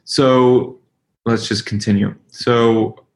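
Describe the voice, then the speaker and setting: A man hosting an informal live software demonstration, explaining in a hesitant way with pauses mid-sentence. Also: medium pitch, fairly even intonation, poor audio. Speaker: webinar host and company leader.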